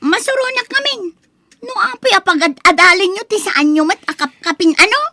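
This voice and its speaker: A woman's voice: very high voice, animated, a little fast, pushed and bright, dry recording, heavily acted. A woman acting a spoiled, haughty college-age daughter in an Ilocano radio drama, whiny and scornful, with an Ilocano accent. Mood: petulant, indignant.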